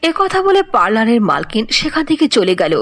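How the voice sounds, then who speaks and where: A woman narrating a scripted cartoon fairy tale as an expressive storyteller. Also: on the high side, fairly quick.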